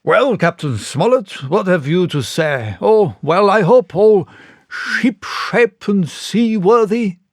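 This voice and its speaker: A haughty, hot-tempered squire, acted out by a male storybook reader, pompous and indignant. His voice is pushed high, with emphatic questioning and very little room sound. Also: animated, takes it slowly, dry recording, clean studio sound, exaggerated voice. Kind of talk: audiobook character reading.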